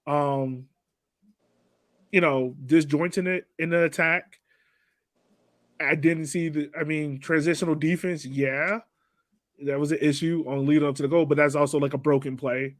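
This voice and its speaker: A man's voice: a little high, steady tone, normal speed, pauses often, no echo. A man chatting as a podcast panelist about a soccer game, conceding points and then reasoning out his own view. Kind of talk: casual post-game sports discussion.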